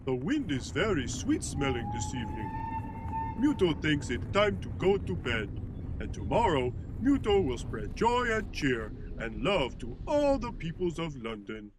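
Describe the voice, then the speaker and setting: Give this to a sweet, simple-minded little creature in an audio comedy. The voice sits fairly high and swings widely with glee. It gushes innocently about itself in the third person, over faint background noise. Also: male voice, wildly swooping pitch, unhurried. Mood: sweet, delighted. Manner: speaks of himself in third person, naive, gushing. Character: cheerful, childlike, sweet-toothed creature playing Scrooge.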